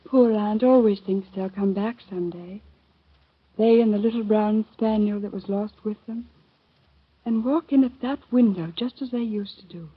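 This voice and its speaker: An actress playing a calm, sly teenage girl telling a grave tale, in 1930s radio acting style. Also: mid-range voice, normal speed, husky, lightly acted. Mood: wistful. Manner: composed, soft, grave. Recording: thin phone-like old radio recording.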